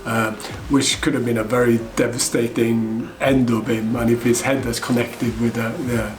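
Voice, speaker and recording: A middle-aged man from Norway, a Krav Maga instructor, commenting on fight scenes in an articulate, measured way with fillers. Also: mid-range voice, little pitch movement. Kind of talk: reacting to film fight scenes.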